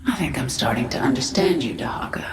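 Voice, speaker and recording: A woman's voice: extremely low pitch, lively intonation, fast, over music. A woman voicing a cold, resolute alien warrior queen in a video game, speaking in imperious, terse phrases. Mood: wary, probing. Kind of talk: video game cutscene acting. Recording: echoing, loud game score behind.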